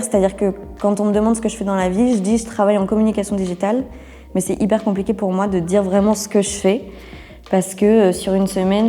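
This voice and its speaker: A woman's voice: medium pitch, warm tone, in a large hall. A woman of twenty-nine giving a casual, candid testimonial interview in informal, colloquial speech. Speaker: interviewee, communications officer and freelancer. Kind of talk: casual testimonial interview, talking about own career.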